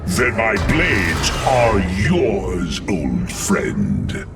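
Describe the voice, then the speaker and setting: Proud ancient warrior machine for a sci-fi game: very low, slow, grandly formal, distant over music. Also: male voice, huge pitch swings, full character voice. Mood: grave, resolute. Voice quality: gravelly. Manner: grand, formal, archaic speech. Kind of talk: dramatic video game voice acting.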